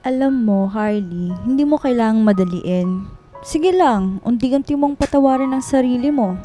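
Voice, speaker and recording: A woman's voice: on the high side, normal speed, warm tone, faint music behind, lightly acted. A woman playing a supportive, teasing young best friend in a radio drama, with an encouraging manner and a Filipino accent. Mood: reassuring. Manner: encouraging, playful. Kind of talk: radio drama acting, conversational.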